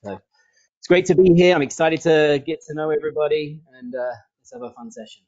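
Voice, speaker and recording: A man's voice: a little high, fairly quick, muffled recording. A man with an English accent, a football coach introducing himself casually on an online training session, with many ums and a self-deprecating humor. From England.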